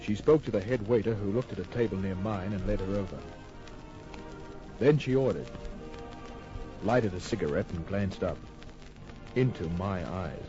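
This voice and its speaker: A wry, hard-boiled private eye played by a male actor tells his case in clipped, cool phrases. His voice sits low, in old-time radio crime style, on a muffled recording with music behind it. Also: normal speed, long pauses, natural voice. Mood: smooth, flirtatious. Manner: laconic, cool, clipped storytelling.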